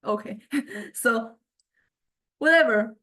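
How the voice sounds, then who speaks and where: A woman giving an informal software demonstration lecture, thinking aloud and often searching for words as she explains. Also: a little high, slow.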